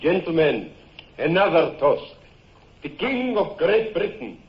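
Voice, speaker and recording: An actor playing a courtly, inquisitive foreign dignitary with courteous, formal manners in an old-time radio drama. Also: male voice, fairly high pitch, big pitch swings, takes it slowly, dull audio. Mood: ceremonial.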